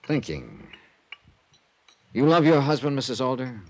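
Brash hardboiled insurance sleuth, very animated medium voice, wry and punchy, vintage radio mystery. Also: male voice, mid-range voice, measured pace, heavily acted. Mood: sparring, pressing. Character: brash, wry, tough male freelance insurance investigator. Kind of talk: 1950s radio acting with first-person narration.

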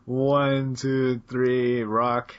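An American man co-hosts a podcast and banters in an easy, teasing way, now and then erupting into big excited shouts. His voice sits at a middling pitch. The audio is a little muffled and lo-fi. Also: drawn-out words. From United States. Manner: playful, teasing, bursts into loud excited yells. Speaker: podcast co-host. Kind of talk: casual banter.

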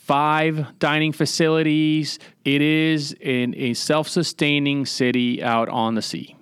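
Casting: middle-aged male naval officer as interview guest, crisp voice, factual explanatory delivery, dry sound. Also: on the high side, flat delivery, slow, fairly bright tone, no room sound. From San Juan, Puerto Rico. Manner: matter-of-fact, military briefing phrasing.

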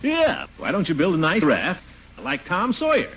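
An actor playing a pompous, chuckling middle-aged uncle in a 1940s radio comedy. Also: male voice, high voice, huge pitch swings, moderate pace, breathy edge, heavily acted. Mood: indignant, scolding. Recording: dull, noisy 1940s radio recording.

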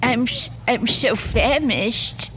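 A woman's voice: fairly high pitch, takes it slowly, sharp tone, a little hiss or hum. A woman playing a frail, muddled elderly lady in an audio drama, hesitant and faltering. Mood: weak, weary. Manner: hesitant, faltering, absent-minded.

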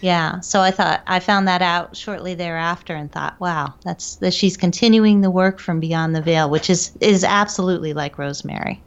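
Lower-pitched woman on dull lo-fi audio. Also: normal speed, vocal fry, hardly any pauses, smooth. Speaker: medium and paranormal researcher, podcast guest. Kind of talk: conversational interview storytelling.

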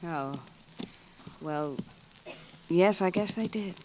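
Wistful, hesitant dance hall gal. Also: female voice, fairly low pitch, slow, darkish tone. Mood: shy, guarded. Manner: hesitant, subdued. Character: guarded, wistful young dance hall woman. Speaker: actress in a radio drama. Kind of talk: 1940s radio acting, western. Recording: hissy vintage 1940s radio recording.

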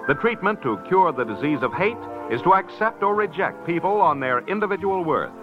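An American man reading scripted announcements in 1950s network radio style. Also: on the high side. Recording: dull vintage radio recording, music behind.

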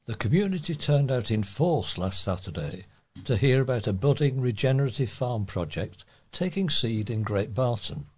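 A man's voice: mid-range voice, animated, moderate pace, a little mellow, husky. A man reading a local newspaper report aloud as a volunteer newsreader. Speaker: volunteer local news reader.

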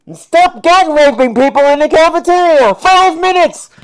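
A man's voice: very high voice, animated, moderate pace, voice pushed up, poor audio. A man voicing a bossy, scolding woman who sternly announces punishments in clipped, repetitive commands.

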